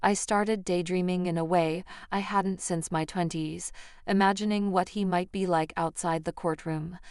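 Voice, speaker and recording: Clear, smooth woman narrating, dry sound. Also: lowish voice, steady tone, moderate pace, darkish tone, no echo. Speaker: story narrator. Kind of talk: scripted first-person story narration.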